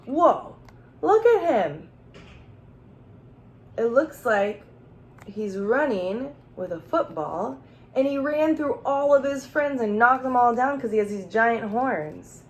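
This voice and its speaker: A woman's voice: fairly high pitch, big pitch swings, slow pace, low-quality recording. A woman teacher reading a children's storybook aloud, expressively, with questions and asides addressed straight to young listeners.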